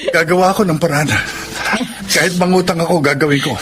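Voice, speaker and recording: A man's voice: fairly high pitch, steady tone, quick pace, background noise, natural voice. A man playing a humble, kind-hearted married jeepney driver in a radio drama, speaking earnestly and politely. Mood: gentle, earnest. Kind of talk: Tagalog radio drama acting.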